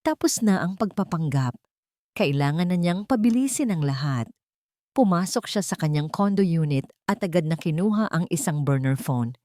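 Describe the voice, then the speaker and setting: A woman's voice: fairly low pitch, lively intonation, moderate pace, dark tone, no room sound, clean studio sound. A woman narrating a scripted dramatic story as a storyteller.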